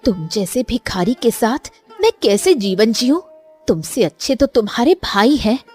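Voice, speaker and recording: A woman's voice: a little high, sing-song, a little slow, a little mellow, soft background music. A woman voicing a bitter, contemptuous young wife in a cartoon story, scolding and disdainful. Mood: contemptuous complaint. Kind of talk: Hindi cartoon moral story acting.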